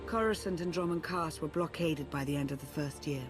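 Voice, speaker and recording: An actress playing a composed, analytical female strategist in a video game, formal and measured as she explains. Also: deep voice, over music, natural voice. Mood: grave, explanatory. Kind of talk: dramatic video game acting.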